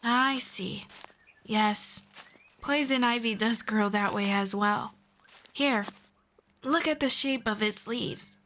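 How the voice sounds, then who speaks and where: A woman voicing a patient, gently formal female elf friend in an ASMR roleplay, speaking softly and politely. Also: fairly high pitch, slight background noise. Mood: calm, patient. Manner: soft, unhurried, politely formal.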